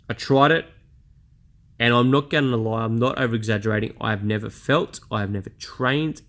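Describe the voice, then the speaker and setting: A man talks straight to the camera in a personal vlog. His delivery is blunt, chatty and a little self-deprecating, and his voice sits at an ordinary middle pitch for a man. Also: a bit bright. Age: 30s. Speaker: vlogger. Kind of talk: candid personal vlog monologue.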